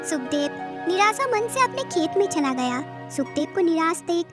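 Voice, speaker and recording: A woman's voice: very high-pitched, sing-song, moderate pace. A woman narrating a scripted moral story for children. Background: background music.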